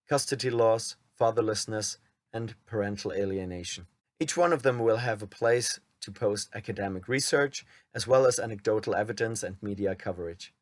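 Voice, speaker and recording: A man from Germany with a German accent, a channel host reading a prepared script aloud in a measured, somewhat wooden way. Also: fairly low pitch, no room sound. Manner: admits his reading sounds wooden.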